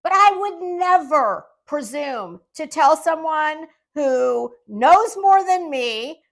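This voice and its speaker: A woman talks slowly through an unscripted commentary. Her delivery is sarcastic and condescending, with emphatic words and dramatic pauses as she addresses her online viewers. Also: high voice, lively intonation. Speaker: YouTube commentator. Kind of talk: casual off-the-cuff commentary monologue.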